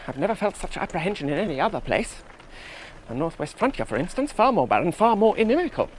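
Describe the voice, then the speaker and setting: Animated, nervous young British officer. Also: male voice, high-pitched, fast, a little hiss or hum, rough recording. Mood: uneasy musing. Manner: earnest, precise, musing, self-reassuring. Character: young British army officer, earnest, nervous, rational cyclist. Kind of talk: 1970s radio play monologue.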